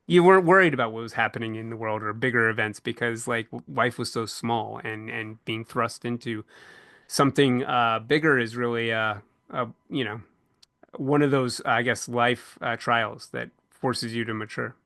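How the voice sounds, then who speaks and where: Bright-voiced man hosting a panel discussion. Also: medium pitch, fairly even intonation, normal speed, no echo, clean studio sound. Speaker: podcast host and panel moderator.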